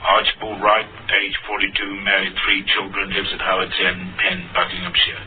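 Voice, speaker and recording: A dutiful police operations officer in an old radio drama, played by a man. The voice is fairly low and delivers clipped, businesslike reports. The sound is narrow, with music behind. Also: monotone, moderate pace, natural voice.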